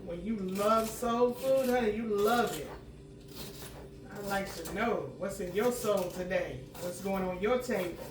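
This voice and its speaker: A woman, slightly hoarse from a cold, chatting homily as she cooks and clearing her throat now and then. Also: mid-range voice, measured pace, noisy background. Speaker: home cooking channel host. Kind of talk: casual cooking chat. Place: home kitchen. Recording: roomy kitchen sound from a distant mic.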